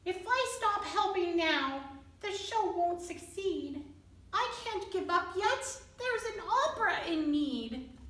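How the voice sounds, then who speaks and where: A woman voicing a small, polite, brave male opera mouse with an earnest, squeaky little voice for a storybook. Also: lively intonation, takes it slowly, a bit bright, full character voice. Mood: determined. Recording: roomy echo, distant mic.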